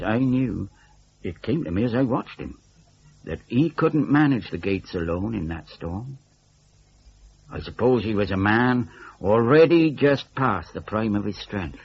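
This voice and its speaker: A man's voice: mid-range voice, slightly rough, muffled recording. A man reading a scripted supernatural horror story in a dramatic, suspenseful manner. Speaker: story narrator.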